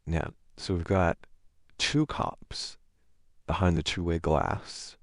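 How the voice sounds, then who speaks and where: Deep, slow-talking man with a gravelly edge. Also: fairly even intonation, no room sound, clean studio sound. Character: awkward, amiable boyfriend on a movie night. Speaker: boyfriend roleplay voice performer. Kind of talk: scripted ASMR roleplay, casual conversation.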